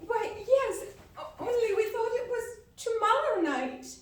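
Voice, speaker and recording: A woman's voice: very high voice, expressive, a little slow. A woman playing a cheerful, scatterbrained middle-aged mother in a live radio play, fluttery and dithering. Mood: flustered. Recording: very echoey hall, distant mic.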